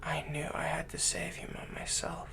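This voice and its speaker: A man playing a smitten, possessive villain in an intimate ASMR roleplay, speaking softly and breathily as he comforts his captive. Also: a little high, level tone. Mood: possessive, tender. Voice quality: breathy, whispery. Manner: slow, soft, possessive, insinuating.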